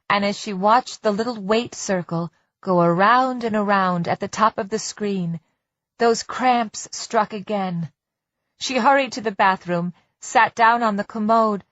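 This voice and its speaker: Female audiobook narrator for scripted fiction, medium pitch, very dry close sound, slightly dull recording. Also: a little slow, a bit bright, dry recording.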